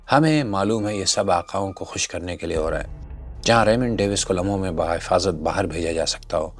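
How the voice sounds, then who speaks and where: A man delivering scripted social commentary in a rhetorical, admonishing, exhortative manner. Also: sits low. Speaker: narrator and commentator. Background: background music.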